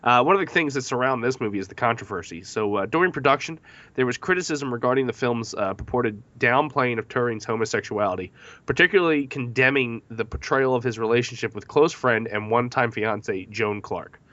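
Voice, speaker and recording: A man's voice: mid-range voice, a little fast, pushed and bright, highs cut off. A man hosting a podcast movie discussion, reading out facts from notes between questions to the panel. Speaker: podcast host.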